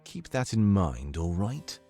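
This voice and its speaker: A man's voice: low-pitched, sing-song, slow pace, warm tone, full character voice. A man voicing a stern, scheming master who cautions his apprentice in a visual novel. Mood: cautioning. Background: game music.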